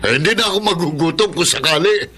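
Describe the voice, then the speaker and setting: A man's voice: a little high, quick pace, sharp tone, breathy edge. A man playing an opportunistic, greedy younger brother with a Filipino accent in a radio drama. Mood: greedy scheming.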